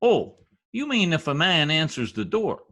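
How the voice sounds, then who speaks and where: A man's voice: a little high, big pitch swings, measured pace, a bit bright, full character voice. A man playing a cocky, bumbling middle-aged husband in a folksy, slangy, self-important way, in 1950s radio comedy style. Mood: confident, explaining.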